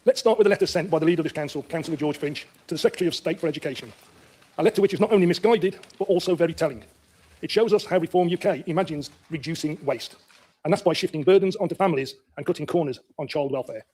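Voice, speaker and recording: Male councillor. Emphatic prepared political speech, dark husky tone, brisk pace. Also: a little high. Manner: emphatic, critical. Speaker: local councillor.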